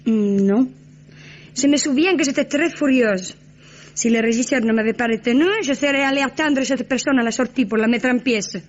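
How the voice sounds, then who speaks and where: A woman voicing a temperamental cabaret diva, flamboyant and dramatic, fairly high voice, muffled old broadcast sound, vintage radio play. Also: close to an everyday voice. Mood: fiery. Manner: flamboyant, dramatic, quick to anger. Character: fiery, theatrical Argentine cabaret singer, female. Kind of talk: 1950s radio drama acting.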